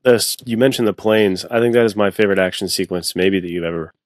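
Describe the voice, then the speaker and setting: Low-voiced man chatting casually, dry close sound. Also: fast, dry recording. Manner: enthusiastic, slangy, self-deprecating. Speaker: fiction author on a podcast. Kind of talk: casual chat.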